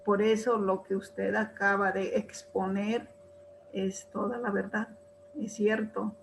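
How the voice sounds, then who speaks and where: Woman teacher, slow deliberate pace, mid pitch; faint background hiss. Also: little pitch movement, vocal fry. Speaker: teacher attending webinar. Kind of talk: webinar comment. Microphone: video call.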